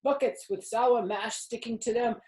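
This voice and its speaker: A woman's voice: a little high, steady tone, normal speed, warm tone, reverberant, pitched above the performer's own voice, natural voice. A woman voicing a chatty, worldly old female sheep in a storybook reading. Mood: enticing.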